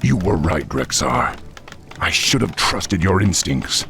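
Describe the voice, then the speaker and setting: A man playing a noble, dignified orc war chief in a fantasy video game, speaking formally in a measured, gravelly voice. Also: sits low, big pitch swings, fairly bright tone, a little hiss or hum, exaggerated voice. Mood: regretful, resolute.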